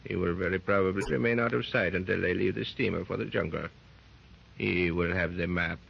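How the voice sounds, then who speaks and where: Cold bossy villain, gravelly, old muffled radio play sound. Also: male voice, deep voice, fairly even intonation, a little fast, heavily acted. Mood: scheming. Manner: cold, commanding, menacing. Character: scheming cunning male villain, commanding. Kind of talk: 1930s radio drama acting. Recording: muffled 1930s radio recording.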